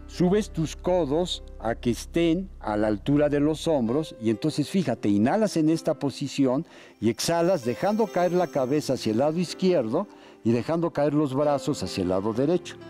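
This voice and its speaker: A man with a Mexican Spanish accent leads a yoga segment in a calm, unhurried voice, cueing each inhale and exhale. His pitch sits in an ordinary middle range. Faint guitar music plays softly behind him. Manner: calm, step-by-step cueing of breath and movement. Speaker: yoga instructor. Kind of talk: guided exercise instruction.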